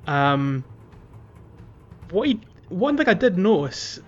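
A man's voice: high-pitched, big pitch swings, slow, faint music behind. A man co-hosting a podcast in casual game discussion, often correcting his phrasing as he goes. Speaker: podcast co-host. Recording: muffled lo-fi audio.

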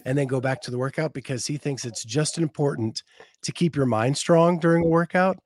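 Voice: medium pitch, brisk, darkish tone. Podcast host with a mid-range voice. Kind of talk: interview questions.

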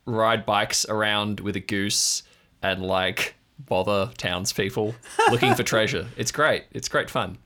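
Bright, low-pitched man as game master. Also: steady tone, a little slow. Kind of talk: hosting and narrating improvised audio drama.

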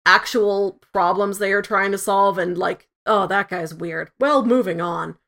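Woman chatting casually, medium-pitched voice. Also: steady tone, unhurried, fairly bright tone. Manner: informal, peppers talk with "like". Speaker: podcast co-host. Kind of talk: casual review chat.

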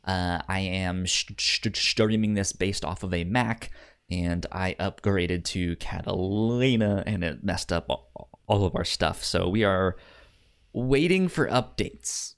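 A man's voice: deep voice, slow, clean tone. A man hosting a casual film podcast, who stammers and often repeats the beginnings of words. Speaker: podcast host.

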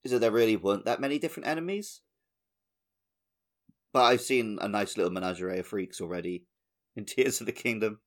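A man's voice: on the low side, fairly quick, pauses often. A man talking casually as a co-host on a gaming podcast.

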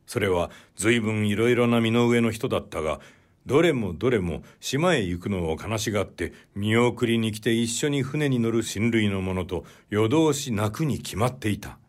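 Storybook samurai-era escort officer, played by a male reader: lowish voice, stern but humane, measured, quietly puzzled. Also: animated, lightly acted. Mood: curious, probing. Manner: measured, old-fashioned speech of a superior. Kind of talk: literary audiobook character dialogue.

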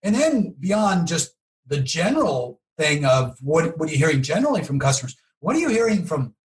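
A man's voice: fairly high pitch, very animated, brisk, rough recording. A man speaking conversationally as an expert guest in an interview, explaining his points and checking in with rhetorical questions.

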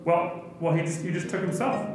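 A man's voice: sits high, a little fast, heavy background noise, lightly acted. Man playing a bemused show host, echoey. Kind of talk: improvised comedy sketch. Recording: echoey, distant video-call recording.

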